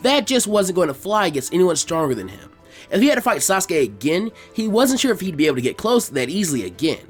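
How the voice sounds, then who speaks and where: A man's voice: high voice, sing-song, fast, breathy edge, faint music behind. A man narrating a story from a script. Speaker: narrator of fan fiction readings.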